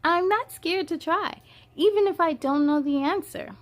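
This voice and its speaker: A young storybook girl played by a woman reader, high in pitch. She sounds earnest and plucky, an anxious child finding her courage. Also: normal speed. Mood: brave.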